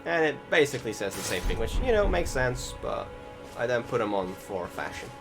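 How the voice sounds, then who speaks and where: A man's voice: mid-range voice, big pitch swings, fairly quick, gravelly. A young man giving casual voice-over commentary on his gameplay, rambling with many fillers and restarts. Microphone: desktop recording setup. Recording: game music behind the voice.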